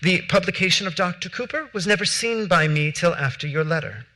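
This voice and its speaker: A young actor reading letters as a proud statesman who answers in crisp, formal, curtly defensive language on a theater stage. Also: high voice, lively intonation, brisk, pushed and bright. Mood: firm. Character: defensive proud male statesman refusing demands.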